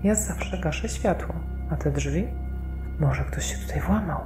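An actress playing a wealthy, suspicious wife in an audio drama, musing and questioning insistently. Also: female voice, low-pitched, a little slow. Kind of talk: Polish audio drama acting. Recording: echoey room, music behind.

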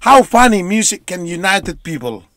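A man from North Sumatra, close to fifty, hosts a loose video-call chat. His voice is high for a man, and he loops and repeats words as he talks. The sound is dry, with no room echo. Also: big pitch swings, normal speed, a bit bright. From North Sumatra, Indonesia. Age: 40s. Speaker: host.

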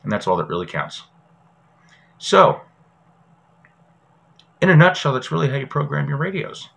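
Bright-voiced man giving a casual tutorial. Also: fairly high pitch, very animated, normal speed, gravelly. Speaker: host of a prepping radio show. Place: small indoor room at a desk.

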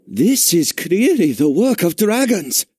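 An actor playing an anxious, inquisitive companion on a mythic quest in a video game cutscene. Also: male voice, high voice, very animated, takes it slowly, darkish tone, breathy edge, no echo, clean studio sound, full character voice. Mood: concerned. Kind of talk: dramatic game cutscene acting. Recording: music behind.

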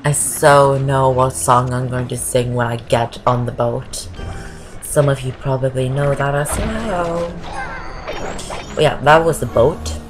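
Deep-voiced woman rambling thru a game walkthrough, game music loud behind. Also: a little slow. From Norway. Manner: rambling, keeps saying "I guess". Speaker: gameplay commentator. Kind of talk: casual game walkthrough commentary.

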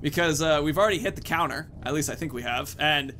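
A man's voice: on the high side, fast, sharp tone, a little hiss or hum. A man in his thirties giving casual, informal, rambling commentary as he hosts a video game playthrough. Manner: rambling, informal, swears mildly. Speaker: gaming video host.

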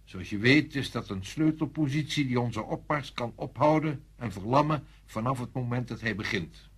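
A man acts out a senior French general in a radio play. He is firm and persuasive, giving clipped, deliberate orders in a slightly husky, mid-pitched voice. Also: lightly acted. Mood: grave, confidential. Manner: authoritative, insistent, clipped and deliberate. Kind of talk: radio drama acting.

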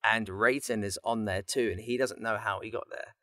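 A man's voice: fairly low pitch, fairly even intonation, normal speed, sharp tone, no echo. Man rambling effusively, dry booth sound. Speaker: guest voice actor and writer. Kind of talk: casual podcast chat.

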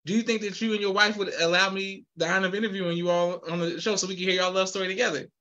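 A man's voice: high voice, level tone, racing, bright, forceful tone, muffled recording. A young man hosting a podcast interview over a video call, upbeat and affirming, with formal thank-yous. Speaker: podcast host. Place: indoor room with posters. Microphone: video call, webcam.

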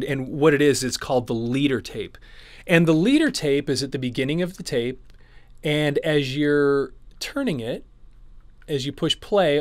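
A man's voice: medium pitch, dry recording. A man in his forties giving a casual, conversational explainer to camera, patiently going step by step. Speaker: presenter, audio transfer studio owner. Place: small dim wood-panelled indoor studio.

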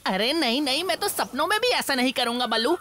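High-pitched, whiny cowardly jackal. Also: animated, fast talker. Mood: frantic pleading. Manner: stammering, pleading, whiny. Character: cowardly sly jackal sidekick, male, pleading and wheedling. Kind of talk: animated cartoon voice acting. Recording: loud music under the voice.

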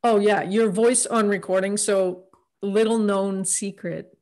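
A woman's voice: mid-range voice, fairly even intonation, a little slow, darkish tone. A middle-aged woman chatting on a video call, asking teasing questions and giggling. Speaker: accountability partner, interviewer. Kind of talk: casual video-call chat. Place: home room. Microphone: video call, desk mic.

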